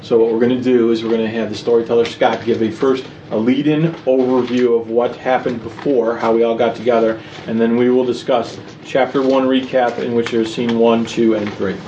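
A man's voice: mid-range voice, flat delivery, brisk, few pauses, dark tone, lo-fi sound. A man who plays in a tabletop game podcast, explaining things informally to listeners. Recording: echoey, distant, very noisy room.

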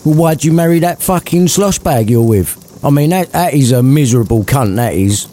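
A grumpy old miser, foul-mouthed and dismissive, spitting curses, as a storyteller puts him on. His voice is mellow but swings widely in pitch as he snarls. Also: lively intonation, normal speed, lightly acted. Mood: snarling.

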